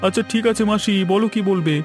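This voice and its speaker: A man's voice: sits high, fast, a little mellow, faint music behind, natural voice. A man voicing a deferential village neighbour in a cartoon. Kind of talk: Bengali cartoon story dubbing.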